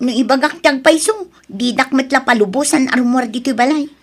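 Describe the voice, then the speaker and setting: A woman's voice: high voice, a little fast. A woman with an Ilocano Filipino accent playing a defiant, pleasure-seeking young daughter in an audio drama, pleading and whining emphatically. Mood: whiny pleading. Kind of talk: radio drama acting.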